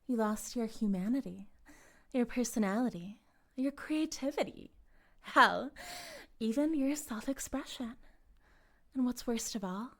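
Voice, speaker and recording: A woman playing a possessive, self-righteous cult leader in an intimate audio roleplay, cooing and condescending, sometimes breathy, speaking directly to the listener. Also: fairly high pitch. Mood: condescending, persuasive. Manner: theatrical, cooing, condescending, drifting into breathy whispers.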